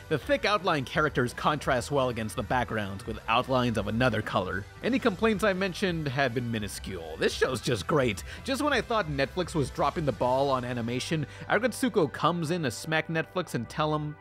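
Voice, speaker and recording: A man's voice: a little high, a bit bright. A man narrating a scripted review as host, with sarcastic, profane humor.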